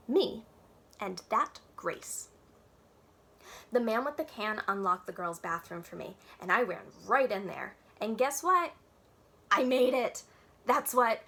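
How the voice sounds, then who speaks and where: A woman's voice: medium pitch, fairly bright tone. A woman reading a children's book aloud expressively, as a library storyteller, with dramatic, childlike first-person narration.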